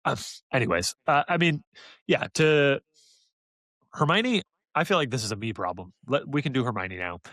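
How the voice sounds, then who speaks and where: A man's voice: medium pitch, sing-song, moderate pace, pauses often, a bit bright, no room sound. A man co-hosting a podcast in casual review discussion, stressing points by repeating his phrases. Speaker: podcast co-host.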